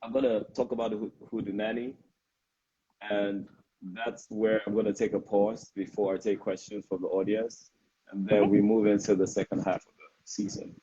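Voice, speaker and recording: A man's voice: on the low side, level tone, moderate pace, warm tone, a little hiss or hum. A man with a Nigerian accent hosting a casual live interview, hesitant, with many fillers and restarts. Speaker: interviewer and live stream host. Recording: dull lo-fi livestream audio.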